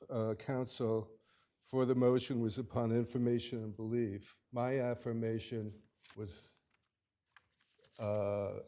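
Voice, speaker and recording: A man arguing his case before an appellate court, speaking haltingly with many restarts and fillers. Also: mid-range voice, dark tone. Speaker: attorney arguing his own appeal. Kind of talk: courtroom oral argument.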